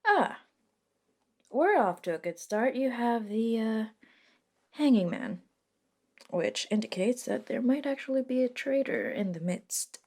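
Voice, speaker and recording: A woman playing a reluctant, sardonic modern witch in a soft-spoken ASMR roleplay, talking casually and hesitantly with dry wit and mild swearing. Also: on the high side, animated, a little slow, pauses often. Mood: dry, concerned.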